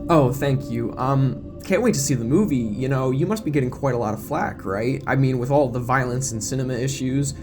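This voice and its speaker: A man voicing an eager middle-aged woman reporter in an audio drama, stammering and flattering in a flustered way. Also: mid-range voice, a little fast, few pauses, music in the background, natural voice. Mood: gushing, insistent. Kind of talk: audio drama character acting.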